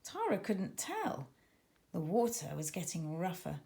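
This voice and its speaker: Expressive woman narrating a children's story. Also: lowish voice, measured pace, vocal fry. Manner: dramatic, suspenseful delivery. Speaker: author reading own children's book.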